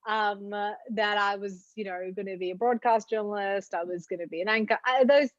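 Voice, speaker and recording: A woman's voice: medium pitch, fairly even intonation, fairly quick, no room sound. Aussie woman guest, lots of ums and restarts, dull dry sound. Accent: Australian. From Australia. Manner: reflective, many fillers and restarts. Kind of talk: interview answers.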